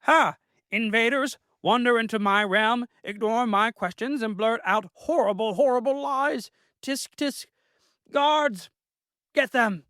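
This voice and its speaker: A shrill, pompous giant rabbit king. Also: lively intonation, slow, pushed and bright, smooth, no echo, clean studio sound, full character voice. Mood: indignant. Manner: shrill, haughty, indignant.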